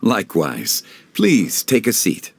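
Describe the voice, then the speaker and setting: A man voicing a composed, learned gentleman in a video game, speaking formally and eloquently. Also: lowish voice, wildly swooping pitch, takes it slowly, a little hiss or hum, heavily acted. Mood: courteous. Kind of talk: dubbed video game cutscene dialogue.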